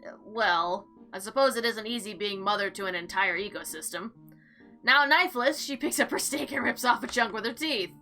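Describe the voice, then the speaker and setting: A woman giving casual, chatty gameplay commentary and reading visual novel text aloud in an expressive, theatrical way. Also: medium pitch, moderate pace. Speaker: game player and narrator. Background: background music.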